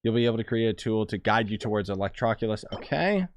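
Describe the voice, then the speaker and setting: A man's voice: lowish voice, expressive, fast talker, dry recording. A man giving casual, blunt and slangy live commentary as he streams a video game. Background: game music.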